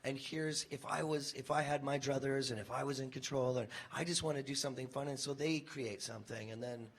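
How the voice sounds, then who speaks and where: A man, a voice actor and former stand-up comic, telling animated, rambling stories on a convention panel. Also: medium pitch, a little fast, husky. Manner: animated, rambling, self-interrupting.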